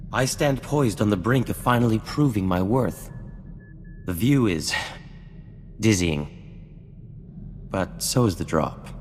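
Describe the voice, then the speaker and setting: An actor playing a vain, refined scientist-inventor in a video game cutscene, speaking in a formal, theatrical and florid way. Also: male voice, mid-range voice, a little hiss or hum. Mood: earnest, grateful. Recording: long reverb, music underneath.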